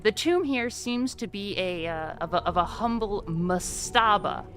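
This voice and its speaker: A woman playing a measured, learned scholar exploring an ancient tomb in a tabletop game. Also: medium pitch, expressive, a bit bright, faint music behind, voice pushed up. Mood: measured, cautionary.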